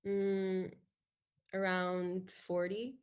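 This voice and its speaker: A young woman in her twenties speaks with a hesitant manner, filling her sentences with "like". She calls in to an advice show over a video call, her voice at an ordinary pitch. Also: flat delivery, very slow, clean tone. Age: 20s. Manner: hesitant, full of "like". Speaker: caller. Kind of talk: call-in conversation.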